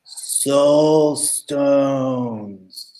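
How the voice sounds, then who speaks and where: A man playing a carefree, unflappable hero in an audio drama, earnest and deliberate, on a roomy video call. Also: medium pitch, dark tone. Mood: solemn offer.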